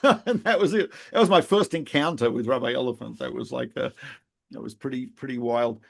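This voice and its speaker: A middle-aged man who grew up in Australia, co-hosting a podcast and telling lively anecdotes in a casual conversation. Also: medium pitch, a little fast, creaky. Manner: animated storyteller, laughs at his own anecdotes. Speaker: podcast co-host, rabbi.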